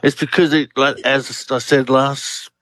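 An Australian man in his seventies talks as a guest researcher on a radio interview. He is enthusiastic but halting, often starting a phrase again. His voice is recorded close and dry. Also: medium pitch, flat delivery, fairly bright tone, no room sound, rough recording. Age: 70s.

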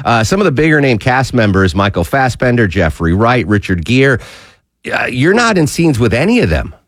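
A man's voice: mid-range voice, big pitch swings, normal speed, no echo, clean studio sound. Eager, rambling male radio host. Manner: eager, rambling, many fillers and restarts. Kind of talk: enthusiastic radio interview.

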